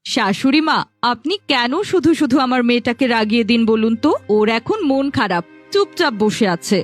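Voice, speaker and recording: A woman voices a doting but sharp-tongued mother in a fairy-tale cartoon. She speaks high and melodramatically, as a scold would, over faint music. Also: lively intonation, moderate pace. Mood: defiant, boastful. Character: sharp-tongued doting mother, indulgent of her spoiled daughter.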